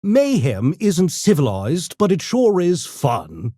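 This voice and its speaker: A man's voice: fairly high pitch, wildly swooping pitch, slow pace, mellow, no echo, studio quality, full character voice. A man voicing an urbane, mischievous gremlin for a video game, with posh, pompous, theatrical and mock-polite diction. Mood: smug, taunting. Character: urbane genteel mischievous gremlin, a genetically engineered intellectual creature. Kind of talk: video game character voice lines.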